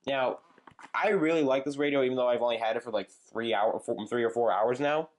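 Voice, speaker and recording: A young man rambling casually as he shows off his gadgets, with frequent fillers, halting restarts and side remarks. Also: medium pitch. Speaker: channel host showing weather radios. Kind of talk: casual show-and-tell chat.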